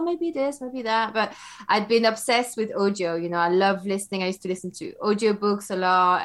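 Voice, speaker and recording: A woman's voice: medium pitch, a bit bright. Woman, 40s, from Belgium, podcast guest, chatty, laughs easily. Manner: chatty, laughs often, many fillers. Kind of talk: casual interview.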